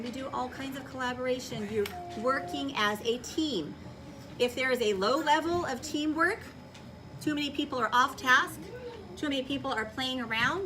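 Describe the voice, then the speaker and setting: A woman teaching young children in a classroom, giving clear directions and checking understanding with short questions. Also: high-pitched. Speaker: elementary school teacher. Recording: distant mic in noisy classroom.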